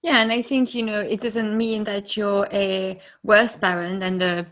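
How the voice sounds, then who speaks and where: A woman's voice: medium pitch, steady tone, measured pace, fairly bright tone. A woman hosting an interview, supportive and asking long, careful questions. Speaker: interviewer, host.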